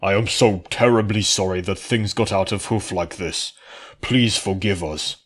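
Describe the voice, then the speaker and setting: A man's voice: fairly low pitch, measured pace. A man voicing a proud, formal pony noble who speaks in an emphatic, declamatory way in a fantasy story. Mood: contrite.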